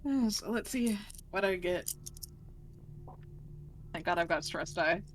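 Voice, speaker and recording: A woman's voice: on the low side, slow, slight background noise. A woman chatting casually as a player in a tabletop role-playing game.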